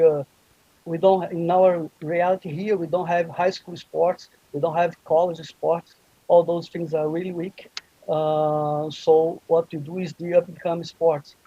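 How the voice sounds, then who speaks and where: A man's voice: fairly high pitch, dark tone, breathy edge, dry recording. Brazilian man on a muffled video call. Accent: Brazilian Portuguese. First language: Portuguese. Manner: hesitant, many fillers. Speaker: guest, live streaming producer. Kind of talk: casual video call chat.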